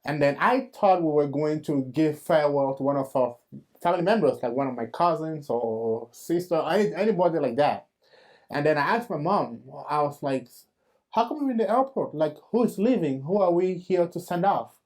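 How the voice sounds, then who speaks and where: A man, hosting and giving his own faith testimony in an earnest, halting storytelling manner with fillers and restarts. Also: a little high, animated, darkish tone. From Cameroon. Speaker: host.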